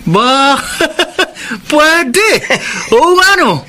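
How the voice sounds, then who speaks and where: A man's voice: extremely high pitch, very animated, very slow, sharp tone, heavily acted. An actor playing a naive, questioning poor workman in a colloquial, plaintive Filipino radio drama style. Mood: puzzled. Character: naive, questioning poor male laborer, devoted son. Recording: noisy ambient background.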